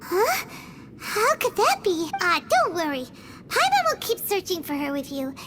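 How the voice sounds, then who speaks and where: A woman voicing a tiny, excitable, childlike fairy companion in a video game, with a squeaky voice. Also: unhurried, noisy background, exaggerated voice. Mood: excited concern. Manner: speaks of herself in the third person, exclamatory. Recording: loud background music under voice.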